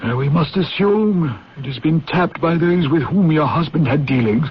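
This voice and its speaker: An actor playing a senior British intelligence chief in a 1970s radio drama, formal, deliberate and authoritative. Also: male voice, fairly high pitch, expressive, close to an everyday voice. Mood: firm, instructing. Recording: dull, hissy 1970s radio recording.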